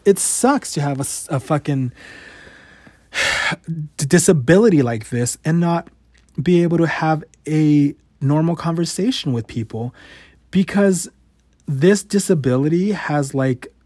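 A young man in his late twenties with a fairly high voice speaks in a casual, confessional podcast monologue. He stutters and restarts words often and swears freely. The sound is dry and close, with no room echo. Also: measured pace, pauses often, a little mellow. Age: 20s. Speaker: podcast host.

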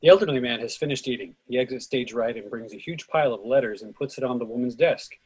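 A man with a husky voice of medium pitch reads stage directions. It is scripted narration for a play reading. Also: fast talker, darkish tone, poor audio.

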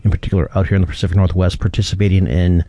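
Male podcast host, casual, low and mellow voice, dry sound. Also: level tone, very fast, no echo. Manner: casual, loose phrasing. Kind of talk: casual chat.

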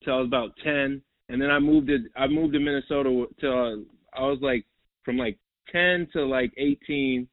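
A man's voice: medium pitch, steady tone, moderate pace, dry recording. Young man from new york, rambling, repeats himself, phone-like sound, dry. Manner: rambling, repeats words, says "bro" and "like". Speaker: basketball player, guest. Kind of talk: casual interview. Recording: tinny phone-like line, dry.

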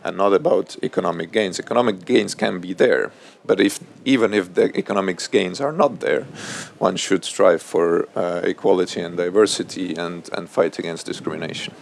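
A man in his thirties whose first language is Russian, speaking on a panel at a public event, halting and full of fillers and restarts. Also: low-pitched, gravelly. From Estonia. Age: 30s. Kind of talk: panel discussion. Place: event hall before an audience.

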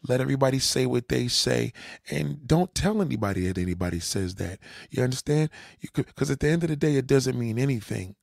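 A man with a gravelly, fry-edged voice at an ordinary pitch lectures bluntly, swearing now and then. He keeps checking that the listener understands. The sound is dry and close. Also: no echo. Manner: lecturing, repeats "you understand?". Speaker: dating advice commentator. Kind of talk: reaction commentary.

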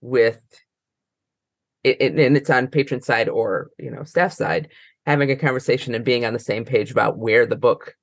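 A woman's voice: very deep voice, normal speed, no echo. A woman answering informally as a librarian guest in an interview, circling through her explanations with many false starts.

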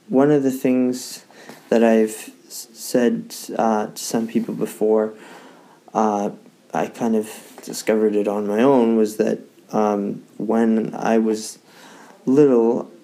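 A man from Victoria, introducing himself in a halting, hesitant way full of fillers and restarts. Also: medium pitch, gravelly, mellow, low-quality recording. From Victoria, Canada. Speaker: social media creator for a youth advocacy office. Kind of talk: personal self-introduction.

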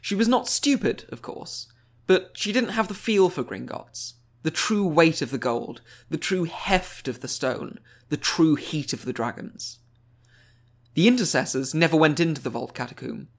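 Higher-voiced man narrating an audiobook. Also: lively intonation, normal speed, gravelly. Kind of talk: scripted story narration.

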